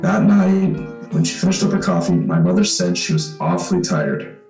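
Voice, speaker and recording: A man's voice: a little high, wildly swooping pitch, moderate pace, creaky, a little mellow, roomy sound, faint music behind, full character voice, rough recording. An actor playing an evasive young man pleading his case in an old-time radio suspense drama. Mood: tense narration. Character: young man claiming to be long-lost son, evasive, pleading.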